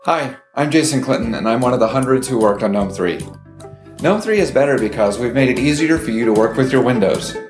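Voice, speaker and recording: A man reading a scripted tutorial voice-over in an even, read-aloud way. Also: mid-range voice, brisk. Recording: played video in a roomy hall with music.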